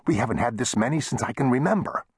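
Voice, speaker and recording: A man's voice: big pitch swings, normal speed, husky, no room sound, clean studio sound, full character voice. A man voicing a touchy, gloomy and indignant plump storekeeper, complaining in a western audiobook. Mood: marveling. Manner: heated, complaining, raised pitch.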